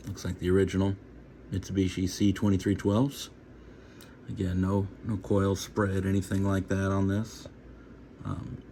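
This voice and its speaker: A man's voice: sits low, dark tone. A man giving a casual, unscripted hands-on video demonstration, plain-spoken and hesitant, in short trailing phrases. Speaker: hobbyist presenter of a radio repair video. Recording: noticeable background hum and noise.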